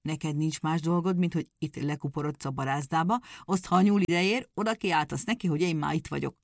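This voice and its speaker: A woman voicing a small, crooked-legged male hedgehog, sly and quick to take offense, with a folksy, stubborn way of talking. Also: low voice, no echo, clean studio sound. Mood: bossy.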